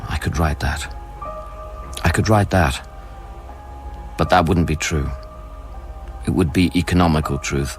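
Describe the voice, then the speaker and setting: An actor playing a haunted scientist in a radio play, narrating eerie events in a measured, brooding, literary way. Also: male voice, a bass voice, fairly even intonation. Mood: haunted, hesitant. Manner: measured, brooding, literary, sometimes dropping to a whisper. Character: haunted male scientist recounting eerie events aboard space station. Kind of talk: dramatic first-person radio play narration. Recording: radio play with music underneath. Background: prominent music.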